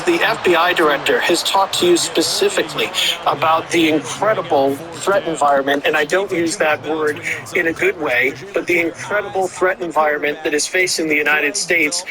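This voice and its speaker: A man's voice: a little high, low-quality recording. Formal male news analyst. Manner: measured, formal, hesitant fillers. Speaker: law enforcement analyst. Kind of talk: news commentary.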